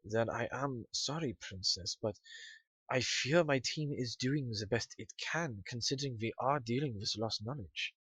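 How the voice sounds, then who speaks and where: A man's voice: medium pitch, normal speed, fairly bright tone, husky, no room sound, rough recording. A man playing an earnest, bookish male pony researcher in an audio drama, polite, deferential and a little hesitant. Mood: earnest, regretful. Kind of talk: scripted audio drama acting.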